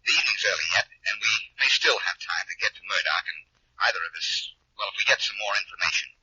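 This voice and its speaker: A man's voice: low-pitched, lively intonation, fast, sharp tone, husky, lo-fi sound, exaggerated voice. A man playing a cocky, wry amateur detective with breezy banter, in 1940s radio acting on an old recording. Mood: confiding. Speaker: actor, radio drama lead.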